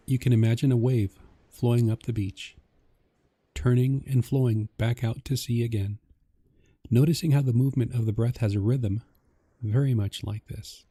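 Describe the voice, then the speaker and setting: Male meditation guide: husky and deeply relaxed, soft, calm, measured instruction. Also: no echo. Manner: gentle, calming, measured instruction.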